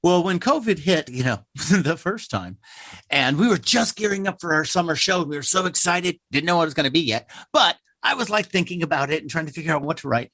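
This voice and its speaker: A man's voice: on the high side, fairly quick, no room sound. Middle aged american guy telling stories in an interview, acts out the dialogue, really dry close sound. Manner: animated storyteller who acts out remembered dialogue. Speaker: interview guest, theater playwright, actor and director. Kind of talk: conversational interview storytelling.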